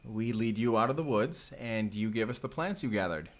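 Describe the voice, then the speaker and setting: A gruff young Spanish vampire slayer, acted by a man, speaking in a fairly low voice. He is blunt and guarded, in the manner of a cinematic audio roleplay. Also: expressive, normal speed, lightly acted. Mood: guarded, businesslike.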